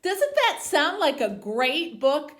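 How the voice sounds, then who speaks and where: A woman librarian reading a children's novel aloud and hosting for young viewers, with an expressive storytelling delivery. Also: sits high, slow pace. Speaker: librarian host. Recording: roomy, echoey indoor sound.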